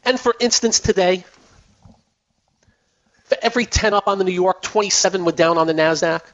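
A man hosts a finance call-in radio show, his voice going hoarse as he talks. He chats in a loose, repetitive way with plenty of fillers, and sits fairly high in pitch. The broadcast sounds dull and lo-fi. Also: moderate pace, fairly bright tone. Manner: chatty, repeats himself, many fillers. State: losing his voice. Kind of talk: casual radio market talk.

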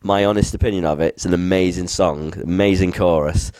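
A young adult man co-hosting a casual podcast, his voice croaky from being unwell. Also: low-pitched, steady tone, fairly quick, darkish tone, dry recording. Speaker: podcast co-host. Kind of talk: casual chat.

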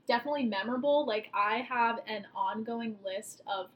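A woman's voice: fairly high pitch, normal speed. A woman in her twenties co-hosting a casual podcast, thinking aloud and rambling with lots of filler words. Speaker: podcast co-host.

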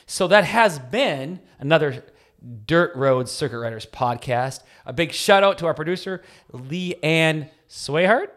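A man's voice: a little high, expressive, unhurried, a bit bright. A man with a Wisconsin accent co-hosting a faith podcast, talking in an earnest, encouraging way and often restarting his phrases. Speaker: podcast co-host. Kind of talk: casual faith podcast chat.

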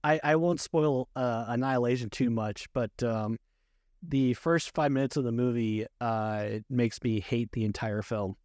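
A man hosting a casual movie review podcast, talking in a halting, repetitive way. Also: mid-range voice, a little mellow, dry recording, studio quality. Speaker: podcast host.

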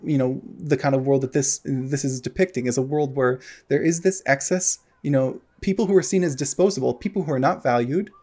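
Fast-talking American guy chatting. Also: mid-range voice, dark tone. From United States. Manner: rambling, many fillers and restarts. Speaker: podcast co-host. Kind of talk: casual podcast chat.

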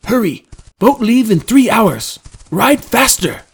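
A man's voice: high-pitched, expressive, normal speed, mellow, husky, voice pushed up, exaggerated voice. A man voicing a stern, impatient warrior rider in an audiobook, blunt and commanding in clipped, broken speech. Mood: impatient, urgent. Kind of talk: audiobook character voice.

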